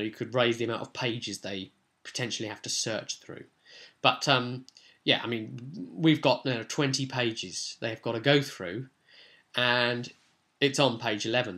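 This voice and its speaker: A man with an average-pitched voice speaks as the host of a tabletop gaming vlog. His delivery is casual and unscripted, a loose commentary that rambles into animated storytelling asides.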